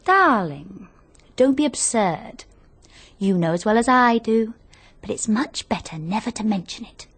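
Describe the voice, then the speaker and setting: An actress playing a sly, flippant, languid society wife with a British accent in a radio mystery. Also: female voice, medium pitch, lively intonation, unhurried, breathy edge. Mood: cool, evasive. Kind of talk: radio mystery drama acting.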